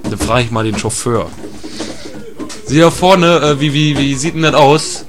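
A man's voice: medium pitch, measured pace, soft background music, close to an everyday voice. A man playing a naive, chatty tourist in an improvised absurd audio comedy, hesitant and repetitive, often tacking on tag questions. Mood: polite, puzzled. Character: chatty, naive male tourist, placidly captured.

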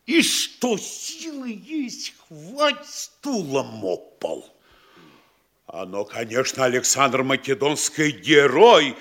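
Bossy mayor, slow, sweeping animated pitch, slightly high. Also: male voice, breathy edge, exaggerated voice. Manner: lecturing, scolding, theatrical. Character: pompous self-important male town mayor, middle-aged. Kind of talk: classic stage radio play acting.

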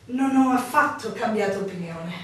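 An actress playing a melancholy innkeeper's wife, no longer young, formal and emotional, in a stage-like radio drama. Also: female voice, mid-range voice, expressive, normal speed, fairly bright tone, natural voice. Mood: wistful, confessional. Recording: echoey room, distant mic, faint noise.

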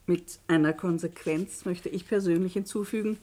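Actress doing middle aged lady academic for a scifi radio drama, slightly low pitch, precise formal lecturing, serious and cautionary. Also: moderate pace, warm tone, close to an everyday voice. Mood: composed, concerned. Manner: articulate, formal, didactic. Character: middle-aged woman academic, measured, earnest, firmly cautionary seminar leader. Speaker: actor in a radio play. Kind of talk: German science-fiction radio drama acting, lecturing.

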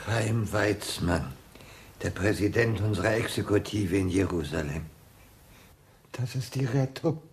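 An actor in a German radio play, playing an earnest, anxious envoy with a creaky voice and urgent manner. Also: male voice, on the low side, lively intonation, unhurried, slight background noise. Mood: awed relief.

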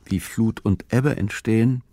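Gravelly, brooding solitary man. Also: fairly low pitch, a little slow, warm tone, slight background noise. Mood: pensive. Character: brooding solitary man musing over encyclopedia facts. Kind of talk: literary radio play monologue.

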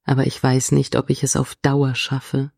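Woman acting a mellow, low-key earnest psychologist. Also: completely flat delivery, normal speed, gravelly, dry recording, studio quality, heavily acted. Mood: concerned. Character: earnest female psychologist, blissful commune convert, quietly worried. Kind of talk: mock-documentary radio play interview.

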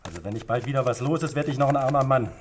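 A man's voice: mid-range voice, racing, lightly acted. An actor playing a wry, complaining man at a card game in a 1960s German radio play. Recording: faint noise and clicks.

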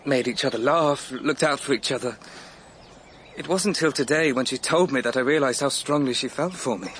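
A man's voice: medium pitch, moderate pace, fairly bright tone, a little hiss or hum, natural voice. An actor playing a brooding, sardonic young man in a radio drama. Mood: earnest, confiding. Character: brooding, sardonic adopted son of a murdered mother.

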